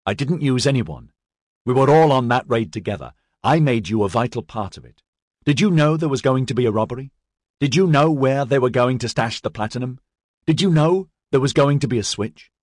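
A man's voice: fairly low pitch, animated, moderate pace, slightly rough, no room sound, studio quality. A man voicing a troubled, defensive young male squad leader in an audiobook, earnest and strained in manner. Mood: insistent.

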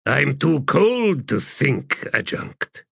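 A man performing a cynical former priest for a fantasy audiobook. He talks with sardonic irreverence, pitched above the reader's narration, close and dry. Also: big pitch swings, extremely slow, gravelly, a bit bright, slightly rough, no echo, full character voice.

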